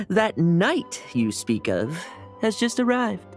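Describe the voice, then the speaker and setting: A woman's voice: medium pitch, huge pitch swings, slow, faint music behind, heavily acted. A woman playing a sly young knight in a video game, coaxing and playfully evasive. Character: sly young knight scheming to inherit a title. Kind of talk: video game cutscene dialogue.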